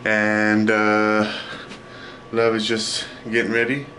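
A man's voice: lowish voice, completely flat delivery, takes it slowly, sharp tone, a little hiss or hum. A young man in his twenties chatting playfully to his handheld camera in a small apartment. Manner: playful, teasing, loose and jokey. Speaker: vlogger. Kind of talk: casual home vlog chat. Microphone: handheld camera at arm's length.

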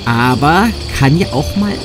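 A man's voice: a little high, animated, unhurried, music in the background. A man playing an impatient, sarcastic companion on the run in a horror-comedy audio play. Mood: dry sarcasm. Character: impatient sarcastic male companion fleeing a monster.

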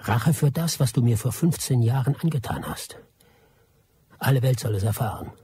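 An actor in a radio play playing a world-weary, sceptical middle-aged expatriate trader, measured and dry, with a husky voice. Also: male voice, medium pitch, big pitch swings, warm tone. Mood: bitter, resolute. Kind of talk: radio play narration and dialogue.

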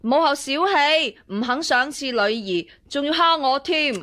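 An actress playing a spoiled young princess who wheedles and pouts, in Hong Kong Cantonese radio drama style. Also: female voice, high-pitched, expressive, measured pace, pushed and bright, exaggerated voice. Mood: whiny, wheedling. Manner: whiny, pouty, coaxing.

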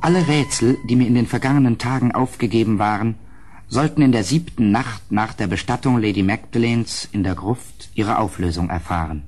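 A man playing an anxious, well-mannered houseguest in a gothic horror radio play, with a grave, literary delivery and a husky voice. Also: on the low side, a little fast, darkish tone, slight background noise, close to an everyday voice. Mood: grave, dread.

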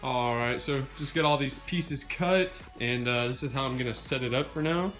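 A man's voice: medium pitch, moderate pace, fairly bright tone, soft background music. A young man casually narrating a DIY build in his home garage, talking with many ums and uhs while he films on a handheld phone. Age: young adult. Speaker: DIY vlogger.

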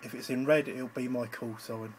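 A man's voice: mid-range voice, fairly even intonation, moderate pace, heavy background noise. A man giving an informal, slightly hesitant live demonstration as an amateur radio operator, recorded on a handheld phone indoors. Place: indoors at a radio station desk.

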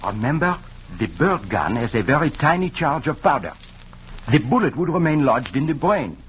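An actor playing a shrewd, courtly Belgian detective with a French-sounding accent, in emphatic 1940s radio style. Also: male voice, mid-range voice, very animated, moderate pace, a bit bright. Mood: excited. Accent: French-speaking foreign accent, put on. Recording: muffled, noisy 1940s radio recording.

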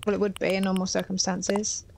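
A woman's voice: medium pitch, completely flat delivery, fairly quick, vocal fry, background noise. A woman in her thirties from Herefordshire with a Welsh-border English accent, chatting casually on a live stream while she plays a game and reads viewer messages aloud. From England (Herefordshire). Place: small room indoors. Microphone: headset.

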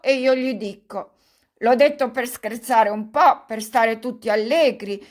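A woman reading aloud, voicing a fawning old male buffoon who talks loudly and effusively. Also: sits high, voice pushed up. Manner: loud, effusive, self-mocking clowning.

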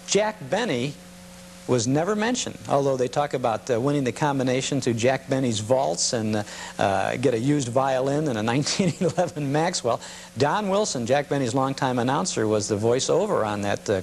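Guy hosting a nostalgia show, creaky voice, chatty and a bit halting, faint hum. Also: medium pitch, lively intonation. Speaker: host of nostalgia program. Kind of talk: casual informal commentary.

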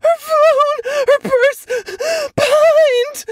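A woman's voice: very high voice, steady tone, drawn-out words, dry recording, clean studio sound, recorded from a distance, pitched above the performer's own voice, full character voice. A woman reading a story as a hysterical mother, gasping and shrieking in panic. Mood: hysterical, panicked. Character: hysterical distraught mother, nearly hyperventilating.

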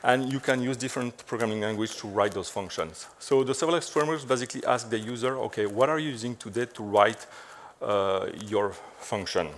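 A middle-aged man from the French-speaking part of Belgium speaks in a French accent at a mid-range pitch. He gives a conference talk on stage in a loose, chatty way, full of "so" and "uh" fillers with a light joking tone. Faint room ambience sits behind his voice. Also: fairly quick, few pauses, a little hiss or hum. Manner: chatty, frequent "so" and "uh" fillers, light self-deprecating humor. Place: large dark conference stage.

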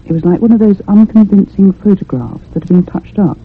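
Anxious curious lady in a radio ghost story, really husky, thin phone-like sound. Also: mid-range voice, lively intonation, fairly quick. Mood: reflective. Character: anxious, curious convalescent unmarried woman narrating a haunting. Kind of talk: radio drama acting and narration.

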